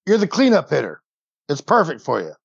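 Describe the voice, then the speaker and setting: A man's voice: on the high side, huge pitch swings, a little fast, gravelly, slightly rough, dull audio. A man playing a tabletop game, chatting casually with playful, slangy banter.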